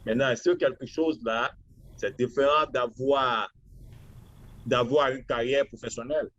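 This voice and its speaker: A man in his forties from Benin with a Beninese accent, answering interview questions in a halting, deliberate way. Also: medium pitch, fairly even intonation, a bit bright, muffled recording. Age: 40s. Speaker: interview guest, lawyer.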